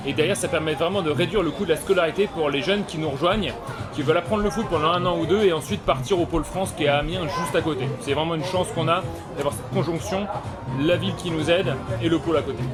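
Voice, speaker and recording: A man answers an interview on behalf of a sports club. His voice is a bit high and he talks rapidly. Music is clearly audible in the background. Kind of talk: interview answers, promotional explanation. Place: community associations forum. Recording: music behind, busy event.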